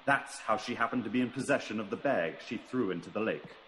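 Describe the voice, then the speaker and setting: An actor playing a shrewd, self-assured gentleman detective in a radio mystery, analytical and clipped in his remarks. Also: fairly low pitch, fast, a little hiss or hum, natural voice, low-quality recording. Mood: musing. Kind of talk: radio mystery drama acting.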